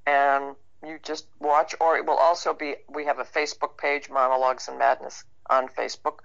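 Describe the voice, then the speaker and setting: Woman, heavy vocal fry, forceful bright tone, casual reflective interview talk, muffled lo-fi audio. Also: very deep voice, level tone, normal speed. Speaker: interview guest, actor and writer. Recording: dull lo-fi online-call sound.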